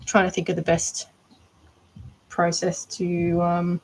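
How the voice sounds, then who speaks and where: A rambling, chatty Australian woman with a slightly low voice, talking her thoughts through out loud as she works. She keeps the tone casual and conversational, like a crafter chatting to viewers on a live stream. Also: completely flat delivery, slow pace, rough recording. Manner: chatty, rambling, thinking aloud. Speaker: art doll maker. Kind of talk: casual livestream chat while crafting.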